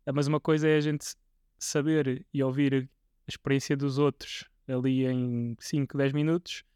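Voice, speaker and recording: A man's voice: medium pitch, little pitch movement, normal speed, dark tone, smooth, no echo, clean studio sound. A man in his twenties with a European Portuguese accent, hosting a podcast interview in a casual, hesitant way with frequent restarts. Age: 20s. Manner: hesitant, many restarts and fillers. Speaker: podcast host.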